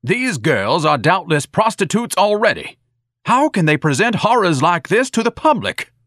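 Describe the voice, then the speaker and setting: A man's voice: mid-range voice, sing-song, moderate pace, a bit bright, no room sound, clean studio sound, voice pushed up. A man voicing a pompous, theatrically disdainful grown son in an audiobook, with over-formal, indignant diction. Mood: outraged.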